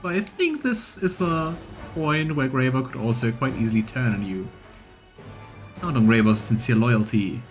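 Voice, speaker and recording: A man's voice: mid-range voice, lively intonation, normal speed. A man in his thirties gives let's play commentary on a game. He moves between his own remarks and reading dialogue aloud. Some game music plays behind his voice. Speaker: streamer playing a game.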